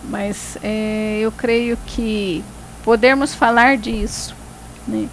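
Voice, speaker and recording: A woman's voice: fairly high pitch, steady tone, slight background noise, rough recording. A woman hosting a faith talk show, speaking reflectively and tagging phrases with "né". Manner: reflective, filling pauses with "né". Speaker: host.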